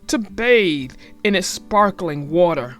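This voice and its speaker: A woman's voice: lowish voice, animated, takes it slowly, fairly bright tone, faint music behind. A woman voicing a boastful, enthusiastic father bear from a storybook in a grand, hearty, confident manner. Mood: dreamy, grand. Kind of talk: storybook character voice.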